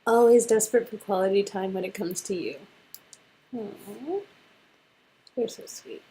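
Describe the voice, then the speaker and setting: A woman playing an affectionate, teasing young female lover in an amateur romance game reading, with a breathy, playful delivery. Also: medium pitch, measured pace, mellow, slight background noise, close to an everyday voice, rough recording. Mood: tender.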